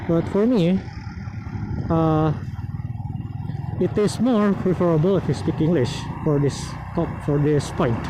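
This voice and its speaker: Young indonesian guy vlogging from his bike, kinda high voice, lots of uh and restarts, loud road noise. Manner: rambling, halting, many fillers and restarts. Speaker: motovlogger. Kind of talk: casual advice vlog while riding. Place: outdoors on a motorbike in traffic. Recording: vehicle noise on helmet mic. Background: road and engine noise.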